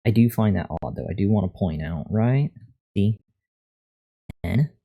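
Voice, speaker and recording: A man chatting casually on a live coding stream, thinking aloud in a monotone voice into a USB condenser microphone. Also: lowish voice, measured pace, gravelly, slightly rough, highs cut off. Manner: thinking aloud, reading out and answering chat. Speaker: live streamer learning to code. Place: untreated room at a computer. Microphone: USB condenser microphone at a desk.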